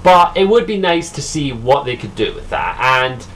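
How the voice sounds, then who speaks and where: A man's voice: medium pitch, very animated, normal speed, a bit bright, poor audio. Scottish guy rambling on his home mic, swears a lot, lots of ums, bit of hiss behind. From Scotland. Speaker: gaming vlogger. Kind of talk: casual solo commentary.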